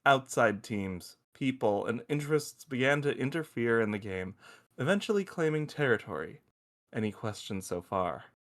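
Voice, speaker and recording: A man's voice: mid-range voice, moderate pace, lightly acted. A man playing a crude, teasing space probe who loves football and shows off as he explains, in a table-read audio drama. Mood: earnest, enthusiastic. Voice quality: animated, swooping delivery. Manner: foul-mouthed, teasing, showy explainer.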